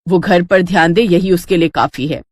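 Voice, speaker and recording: A woman voicing an older mother-in-law in a moral story, with firm, measured delivery. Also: fairly low pitch, darkish tone, dry recording, natural voice. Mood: stern. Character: older mother-in-law, stern about honour, later supportive.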